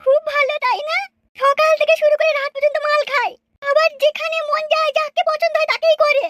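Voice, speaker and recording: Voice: extremely high pitch, little pitch movement, fairly quick, fairly bright tone. A performer voicing a brazen, flirtatious married village woman in a cartoon, with exaggerated sing-song sweetness. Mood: sarcastic complaint. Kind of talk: cartoon dialogue.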